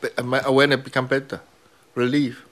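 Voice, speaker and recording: A man's voice: mid-range voice, flat delivery, fast, a bit bright. A man with a Burmese accent, a meditation teacher speaking simple, broken English and often checking with "right?". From Myanmar. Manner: broken English grammar, repeats key words, asks "right?". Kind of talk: teaching dialogue with students.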